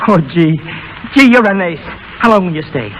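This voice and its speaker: An actor playing a brash but soft-hearted burlesque show boss, slangy and harried, in 1930s radio style. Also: male voice, sits high, animated, moderate pace, muffled recording. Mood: concerned. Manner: slangy, exasperated, urgent. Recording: noisy vintage 1930s radio broadcast.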